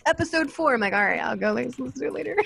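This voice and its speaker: Higher-voiced woman hosting a casual panel. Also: fairly quick, gravelly, very noisy. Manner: informal, animated storytelling with "like" and "you know". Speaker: panel host and podcaster.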